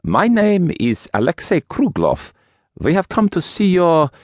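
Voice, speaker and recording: A man's voice: sits high, wildly swooping pitch, unhurried, dry recording, voice pushed up, full character voice. Man playing a cold, menacing Russian gang boss. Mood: cold, insistent. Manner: curt, menacing, commanding.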